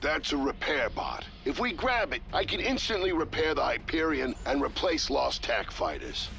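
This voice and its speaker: A cocky slangy ship engineer, gravelly, really animated, game voice. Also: male voice, fairly high pitch, moderate pace, background noise. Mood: upbeat briefing. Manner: casual, slangy, brash. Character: cocky, folksy male starship engineer. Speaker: ship engineer giving tips. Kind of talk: video game character acting. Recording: loud game music behind.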